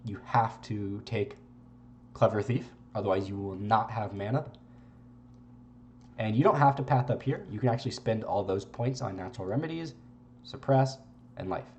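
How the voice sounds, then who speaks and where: A young man with a congested voice, giving relaxed, rambling gameplay commentary and swearing casually. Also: lowish voice, moderate pace, pauses often, highs cut off, a little hiss or hum. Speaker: game streamer.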